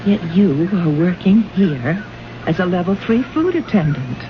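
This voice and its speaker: A muffled, noisy vintage radio drama recording of an earnest woman diplomat from the future. Her voice swings widely in pitch as she questions, puzzled and emphatic. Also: expressive, measured pace. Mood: puzzled, wounded. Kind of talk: old-time radio drama acting.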